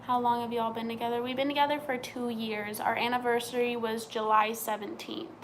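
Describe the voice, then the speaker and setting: A woman's voice: fairly high pitch, level tone, a little fast, slight background noise, low-quality recording. A young woman from Montana, chatting casually on a live stream as she reads out and answers viewer questions.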